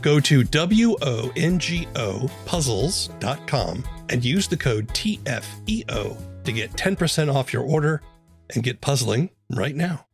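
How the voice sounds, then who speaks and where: A man's voice: medium pitch, big pitch swings, a little slow, gravelly, breathy edge. A man hosting a podcast, reading scripted narration and promos in a presentational style. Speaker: podcast host. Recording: faint music behind voice.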